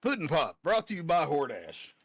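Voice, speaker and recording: A man's voice: on the high side, huge pitch swings, normal speed, a bit bright, breathy edge, dry recording, studio quality. A man joining a casual stream chat, riffing crude jokes and fake ad slogans. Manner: joking, crude ad-lib humor. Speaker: co-host on stream.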